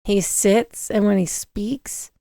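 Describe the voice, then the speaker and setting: Raspy-voiced female podcast co-host. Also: mid-range voice, fairly even intonation, takes it slowly, warm tone, dry recording, clean studio sound. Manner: reactive, laughs often, short exclamations. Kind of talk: casual chat.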